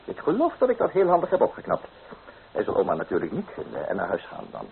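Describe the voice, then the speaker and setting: An actor playing a young, amorous painter, coaxing and theatrical, in an old radio drama. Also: male voice, fairly low pitch, wildly swooping pitch, fast, creaky, exaggerated voice. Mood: sly, teasing. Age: young adult. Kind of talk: old radio play acting. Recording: tinny old radio recording, hissy.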